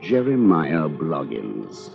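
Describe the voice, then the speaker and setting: An actor with a British accent playing a cool, calculating schemer in an old radio drama, measured and articulate. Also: male voice, very deep voice, huge pitch swings, creaky, over music, exaggerated voice. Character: calculating, cool-headed English man confessing his schemes. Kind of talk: 1940s radio drama, first-person narration. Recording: dull vintage radio recording.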